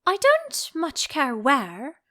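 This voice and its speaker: A woman's voice: high voice, huge pitch swings, slow pace, a bit bright, voice pushed up, heavily acted. A woman voicing a curious, polite, slightly timid young girl in a storybook, earnest and questioning.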